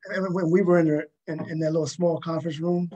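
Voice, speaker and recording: A man telling anecdotes in casual conversation, halting and often repeating words as he goes. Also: on the high side, level tone, dark tone, slightly rough.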